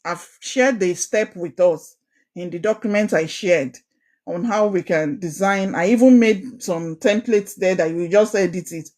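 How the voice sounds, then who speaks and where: A young Nigerian woman with a proud Ijesha accent leads an online coaching session for a women's group. She speaks in an animated, encouraging way and keeps repeating key phrases for emphasis. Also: on the low side, a little slow. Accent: Nigerian, Ijesha Yoruba. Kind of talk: online course talk.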